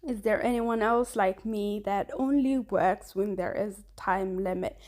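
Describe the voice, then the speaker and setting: A woman's voice: mid-range voice, fairly even intonation, measured pace, a little mellow. A woman whose first language is Somali, in her thirties, chatting casually through her travel vlog with many fillers and restarts. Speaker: vlogger.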